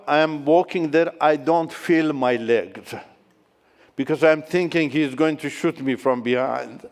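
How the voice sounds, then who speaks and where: A middle-aged man tells his testimony slowly and earnestly to a conference crowd. His voice is smooth and resonant. It rings out through a large hall with long reverb. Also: a little high. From Iran. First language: Turkish. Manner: earnest storytelling with restarts, excited in tense moments. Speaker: conference speaker. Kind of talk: personal testimony talk.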